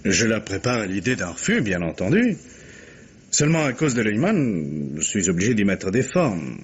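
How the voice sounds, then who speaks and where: A suave, placating husband from an old French radio play. His husky voice moves in lively swings, full of courteous banter. The sound is dull, like an aged broadcast. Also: lowish voice, normal speed. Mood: conciliatory. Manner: courteous, bantering, frequent soft interjections. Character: urbane, conciliatory husband, a film man. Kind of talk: 1950s French radio drama acting.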